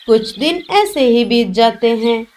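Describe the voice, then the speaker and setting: A woman narrates a children's cartoon tale from a script. Her voice is somewhat high, with faint noise behind it. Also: animated, measured pace, a little mellow.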